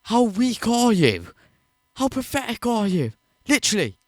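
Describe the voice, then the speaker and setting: A man's voice: high-pitched, big pitch swings, measured pace, dry recording, studio quality. A man hosting a reaction video, ranting in disbelief and swearing freely as he mocks what he watches. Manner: incredulous, profane, mocking.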